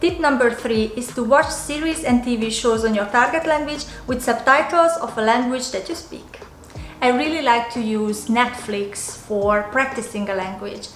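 A woman's voice: on the high side, moderate pace, fairly bright tone, a little hiss or hum. A woman in her twenties with a Hungarian accent, a YouTuber earnestly explaining tips to camera from her home office. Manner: earnest, explaining step by step, slight non-native phrasing. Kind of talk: casual to-camera tips vlog. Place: small home office indoors. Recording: roomy home office, faint music.

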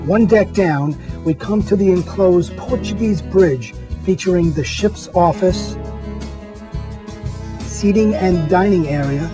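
A man's voice: high-pitched, slow pace, music in the background. A man, a magazine editor, walking viewers through a boat and pointing out its features one by one in an upbeat tour narration.

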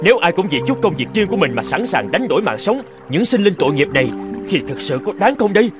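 A man's voice: high voice, very animated, quick pace, over music. An actor playing a self-righteous, argumentative young male scholar in a cartoon. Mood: indignant. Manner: argumentative, boastful, exclamatory. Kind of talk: Vietnamese cartoon dubbing.

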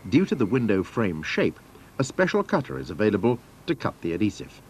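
A man's voice: fairly low pitch, huge pitch swings, a little fast, a little mellow, slight background noise. A man narrating scripted instructions for a training film in a formal, methodical manner.

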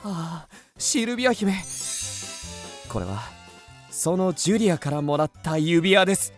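A male actor playing a fickle, ardent young gentleman in a radio drama, with florid, overwrought theatrical delivery. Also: on the high side, sing-song, measured pace, soft background music.

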